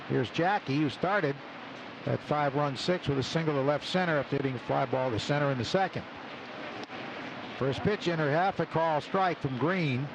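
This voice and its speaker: A man calling live baseball play-by-play on the radio in short, clipped phrases packed with stats between pitches. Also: creaky. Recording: noisy live outdoor radio broadcast. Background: loud ballpark ambience.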